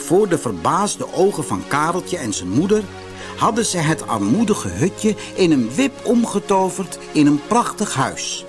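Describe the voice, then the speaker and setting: A man reading a scripted fairy tale for children as an expressive narrator. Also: a little high, unhurried. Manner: expressive storytelling. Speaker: storybook narrator. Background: music.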